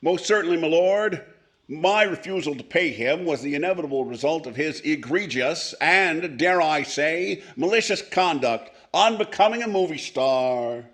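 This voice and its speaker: Florid old boozy vaudeville star, kinda high for a man, grand words and mumbled asides, old radio show. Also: expressive, normal speed, a bit bright. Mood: pompous, oily. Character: pompous, boozy old comedian movie star, florid insulter. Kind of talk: 1940s radio comedy acting.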